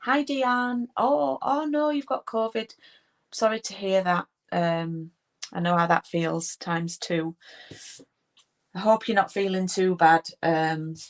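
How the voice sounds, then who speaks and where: A woman with a broad Yorkshire accent, hosting a casual live craft stream and greeting viewers by name as she chats. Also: on the low side. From Yorkshire, England. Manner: chatty, greets viewers by name, frequent ums and restarts. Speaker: card-making demonstrator hosting a live stream.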